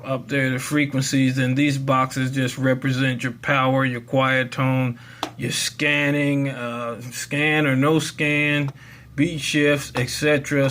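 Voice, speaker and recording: A man's voice: medium pitch, a bit bright, slight background noise. A man hosting a casual how-to demonstration in a folksy, rambling way. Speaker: tutorial video host.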